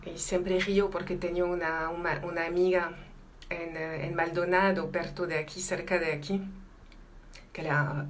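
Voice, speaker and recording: A lowish-voiced woman with a French accent talks slowly to an online audience, feeling her way through a language that is not her first. She restarts phrases, drifts into Portuguese words and checks in with the listeners constantly. A faint room ambience sits under her voice. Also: a little hiss or hum. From France. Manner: halting, restarts often, mixes Portuguese into Spanish, frequent "¿no?". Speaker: emotional intelligence teacher hosting a live stream. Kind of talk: live-stream talk to viewers.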